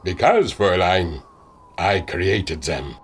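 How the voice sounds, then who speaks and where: An actor playing a huge, jovial German airship captain and inventor with a theatrical delivery and a German accent, in a pulp radio adventure. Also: male voice, animated, slow pace, over music. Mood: proud, mysterious. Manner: hearty booming laugh, theatrical delivery.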